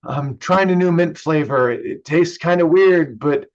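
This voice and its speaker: A man's voice: a little high, measured pace, highs cut off. A man playing a nervous, evasive young man hiding his drinking, stammering defensively in a staged play reading. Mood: defensive, pleading.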